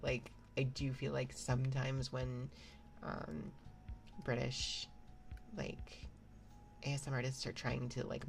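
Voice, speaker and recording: A woman streaming ASMR, chatting softly and rambling with lots of fillers, sometimes dropping to a whisper. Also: very deep voice, gravelly. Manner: rambling, many fillers and restarts; soft-spoken, drifts into whispers. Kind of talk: soft casual stream chat. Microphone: binaural mic.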